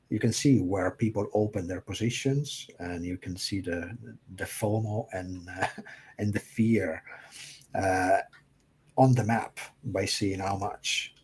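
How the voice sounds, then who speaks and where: Low-voiced man explaining liquidity maps. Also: darkish tone, slight background noise. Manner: hesitant, many fillers. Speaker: crypto market analyst. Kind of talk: casual livestream chat.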